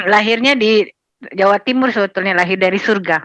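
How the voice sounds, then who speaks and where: A woman caller from North Sumatra who gives slow answers with a giggle. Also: mid-range voice, fairly bright tone, highs cut off.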